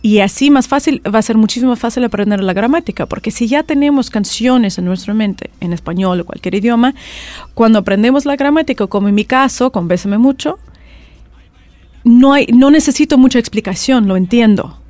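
A fairly high-voiced woman with an accent that mixes Spanish, Argentine and Mexican Spanish. She talks as a language teacher, telling stories in a chatty, animated way and often restarting her sentences. The recording is dry and close. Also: brisk, dry recording. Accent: mixed Spanish, Argentine and Mexican Spanish accent. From California, USA. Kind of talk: conversational interview.

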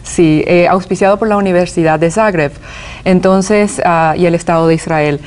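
Woman musician guest, lowish voice, explains with halting restarts and fillers. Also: a little mellow. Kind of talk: TV interview.

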